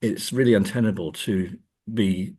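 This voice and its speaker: Deep, husky man, thoughtful. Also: warm tone. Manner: hesitant, thoughtful, measured phrasing. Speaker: guest academic being interviewed. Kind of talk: reflective conversational interview.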